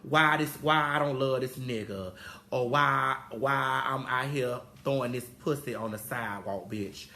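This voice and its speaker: A woman's voice: very deep voice, unhurried, pushed and bright, a little hiss or hum. A woman in her forties hosting a casual talk show, profane and preachy, drawling out her stories. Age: 40s. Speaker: host.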